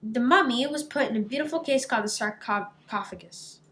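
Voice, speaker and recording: A woman reading a brisk, orderly female ibis schoolteacher in a storybook, instructing and directing as if to a class. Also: on the high side, animated, a bit bright, roomy sound. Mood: instructive, inviting. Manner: clear, instructive classroom address.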